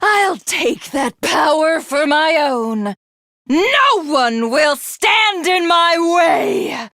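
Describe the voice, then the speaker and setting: A woman's voice: high-pitched, animated, extremely slow, pushed and bright, no echo, studio quality, full character voice. A woman playing a cruel, megalomaniac villainess in a video game, grandiose and theatrical, with a sadistic streak and a hunger to be God. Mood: manic, ravenous.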